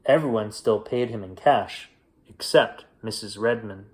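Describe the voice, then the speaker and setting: A man narrating a children's picture book as an expressive, unhurried bedtime storyteller with deliberate dramatic pauses. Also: fairly low pitch.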